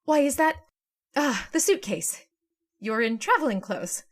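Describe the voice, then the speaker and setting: Casting: actress for controlling female schemer, radio thriller. Slightly high, husky voice, urgent, commanding delivery. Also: very animated, a little slow, heavily acted. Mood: alarmed. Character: scheming, controlling woman plotting a murder. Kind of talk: old-time radio thriller acting.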